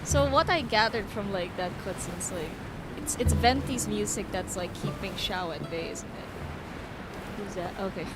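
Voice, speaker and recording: A woman's voice: mid-range voice, measured pace, very noisy. A young woman playing a video game and commenting casually, giggling and teasing the characters on screen. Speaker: streamer. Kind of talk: casual let's play commentary. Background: loud game music.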